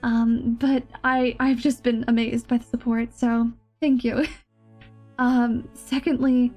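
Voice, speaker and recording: High-voiced woman, nasal from a cold. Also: little pitch movement, slow, dark tone, faint music behind. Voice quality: nasal, stuffed-up. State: mildly sick with a cold. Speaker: voice actor, channel host. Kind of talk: casual update to listeners.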